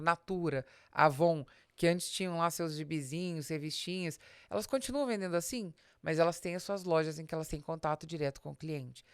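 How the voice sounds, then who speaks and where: Woman, Brazilian accent, slightly low pitch, dry studio-like sound, didactic, emphatic, informal business monologue. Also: no echo. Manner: didactic, emphatic, addresses the listener directly. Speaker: podcast host and business strategist.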